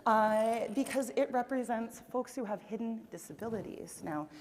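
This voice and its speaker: A woman's voice: fairly high pitch, moderate pace, darkish tone. A woman hosting on a conference stage, giving friendly announcements with light laughs. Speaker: event host. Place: large conference hall. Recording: long hall reverb.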